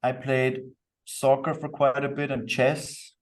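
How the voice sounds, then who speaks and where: A man's voice: medium pitch, level tone, takes it slowly. A man from Austria speaking as a podcast guest, explaining himself in an easygoing, reflective interview style. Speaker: podcast guest, company co-founder. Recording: distant microphone.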